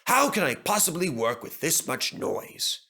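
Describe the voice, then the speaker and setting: A man's voice: on the high side, very animated, unhurried, vocal fry, bright, forceful tone, voice pushed up, heavily acted. A man voicing a pompous, stern but fatherly railway boss with a formal, authoritative manner in a children's story. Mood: exasperated, firm.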